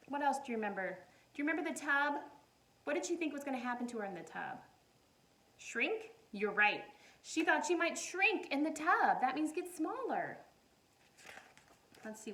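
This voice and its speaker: A woman teacher reading a picture book aloud to young children, animated and encouraging, often putting questions to her listeners. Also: fairly high pitch. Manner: encouraging, animated, asks questions and pauses for answers.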